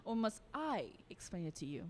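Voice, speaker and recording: A woman's voice: medium pitch, big pitch swings, moderate pace, a little mellow, slight background noise, close to an everyday voice. A woman playing a scheming, taunting vampire aristocrat in an audio drama, condescending, drawling and teasing. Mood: mocking, sly.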